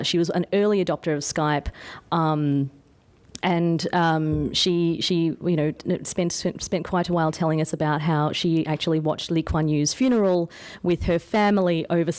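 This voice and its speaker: A woman's voice: on the low side, darkish tone. A woman with an Australian accent giving an informal conference talk as an academic, conversational and modest about herself. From Australia, born in England. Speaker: academic presenter, anthropologist. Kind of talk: conference paper presentation, informal.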